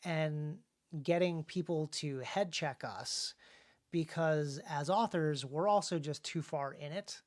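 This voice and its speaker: Halting man in casual podcast discussion. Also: on the high side. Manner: halting, repeats words as he thinks. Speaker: podcast host and author. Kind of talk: casual discussion of writing craft.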